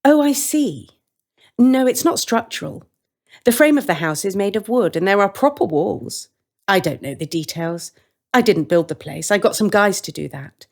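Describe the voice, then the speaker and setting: A woman's voice: medium pitch, lively intonation, normal speed, creaky, pitched above the performer's own voice. A woman voicing a chatty, friendly woman who bakes gingerbread and lives in a gingerbread-coated house. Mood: breezy.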